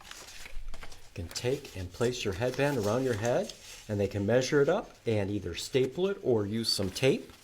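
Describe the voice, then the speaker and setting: A man's voice: mid-range voice, lively intonation, moderate pace, slight background noise. A man hosting a library storytime, reading aloud and explaining things to young children with lots of simple questions. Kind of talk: children's read-aloud and sing-along.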